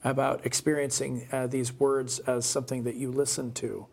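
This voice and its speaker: A man with a mid-pitched voice hosts a discussion podcast. He thinks aloud, circling back and restarting phrases as he builds toward his point. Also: little pitch movement, vocal fry, darkish tone, slightly rough. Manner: halting, repeats and restarts phrases as he reasons aloud. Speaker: podcast host. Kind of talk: conversational discussion.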